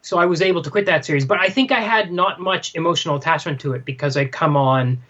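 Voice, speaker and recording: Man, slightly high pitch, halting, casual anecdote, repeats himself, muffled lo-fi sound. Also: bright, forceful tone. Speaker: podcast co-host and manga translator. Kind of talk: casual chat, telling an anecdote.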